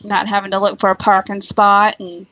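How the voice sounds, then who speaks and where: A woman's voice: mid-range voice, monotone, normal speed, a bit bright, muffled recording. A woman in casual conversation on a talk show, giving her opinions in an informal, emphatic way.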